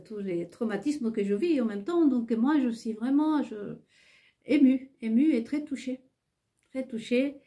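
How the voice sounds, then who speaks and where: Woman vlogger, second-language French, slow and halting, mellow higher voice, repeats herself insistently. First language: not French. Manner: halting, many hesitations and restarts. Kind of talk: rambling monologue to viewers.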